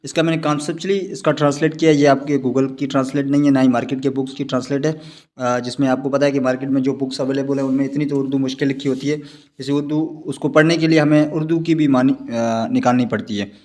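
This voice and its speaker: A man's voice: medium pitch, fairly even intonation, fast talker, a little mellow. A man with a Pakistani accent lecturing on law in an explanatory way, repeating and rephrasing his points. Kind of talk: explanatory online lecture with read-out passages.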